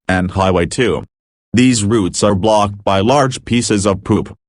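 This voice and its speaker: Low-voiced man reading a mock emergency alert. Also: dry recording, studio quality. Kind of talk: scripted mock emergency broadcast narration.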